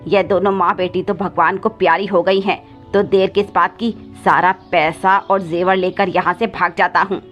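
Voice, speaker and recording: A woman's voice: fairly low pitch, fairly even intonation, moderate pace, few pauses, lightly acted. A woman voicing a cruel, scheming male crow stepfather with a sly, scolding manner in a cartoon moral story. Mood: gloating scheming. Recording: slightly dull audio with background music.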